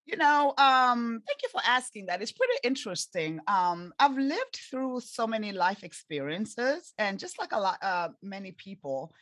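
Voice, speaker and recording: A woman's voice: fairly high pitch, big pitch swings, normal speed, few pauses, fairly bright tone, no echo. A woman in her forties with an East African accent, earnestly telling her life story as a podcast guest. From Tanzania and Zambia. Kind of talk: interview storytelling.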